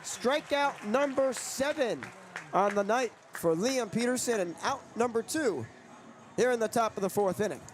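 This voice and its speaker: A man's voice: extremely high pitch, lively intonation, normal speed. A young man doing sports broadcast commentary as a play-by-play announcer and reporter. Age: young adult.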